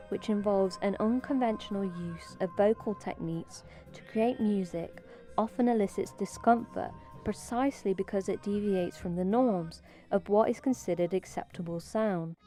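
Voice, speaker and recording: A woman narrating a video essay, reading a prepared academic script in a measured, even way. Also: medium pitch.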